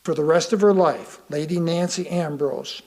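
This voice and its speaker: A man reading a sermon aloud from a script, deliberately and with emphatic pauses. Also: a little high, a little mellow. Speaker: lay reader of a sermon.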